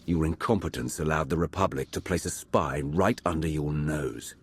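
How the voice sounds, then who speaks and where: An actor playing a cold, menacing Sith agent in a video game, with a terse, commanding delivery and gravelly voice. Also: male voice, extremely low pitch, moderate pace, heavily acted. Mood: cold, accusing. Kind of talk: video game cinematic acting.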